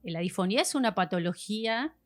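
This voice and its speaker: A woman's voice: medium pitch, expressive, quick pace. Argentine woman explaining voice care patiently. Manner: patient, teacherly, acts out examples. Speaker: voice specialist guest. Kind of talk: explanatory podcast chat.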